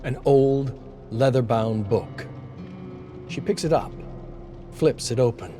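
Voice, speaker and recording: A man's voice: medium pitch, takes it slowly, dark tone. A man reading a scripted dramatic story as a narrator. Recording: voice over background music. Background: music.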